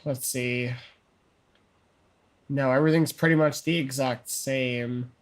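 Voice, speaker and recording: A man's voice: mid-range voice, fairly even intonation. Man casually reading game text aloud. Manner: loose, joking, skims and reads quickly. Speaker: co-host of a let's play channel.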